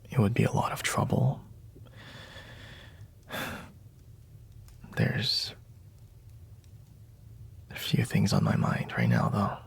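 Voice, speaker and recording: A young royal bodyguard, acted by a man for an intimate ASMR roleplay, gruff yet secretly tender. His voice is a husky half-whisper with heavy gravel in it. He talks slowly and haltingly, as if reluctant to show his softer side. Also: on the low side, full character voice. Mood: hesitant, hushed. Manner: hesitant, halting, grudgingly soft, frequent sighs.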